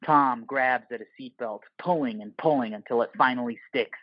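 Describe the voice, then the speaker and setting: A man's voice: mid-range voice, normal speed, no echo, dull audio. A man narrating a scripted audio play in the role of narrator.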